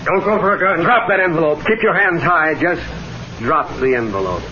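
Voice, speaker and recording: An actor playing a hard, self-assured, scheming outlaw boss in a radio Western, talking curt and tough. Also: male voice, a little high, lively intonation, normal speed, crowd noise, close to an everyday voice. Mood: threatening, curt. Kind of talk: old-time radio Western acting. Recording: muffled band-limited old radio recording.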